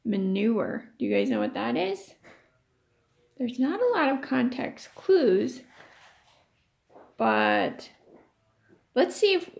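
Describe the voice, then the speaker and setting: A woman's voice: a little high, huge pitch swings, slow pace, vocal fry, long pauses, a little mellow. A woman teacher reading a story aloud to children, stopping to explain and ask questions. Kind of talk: read-aloud lesson for children.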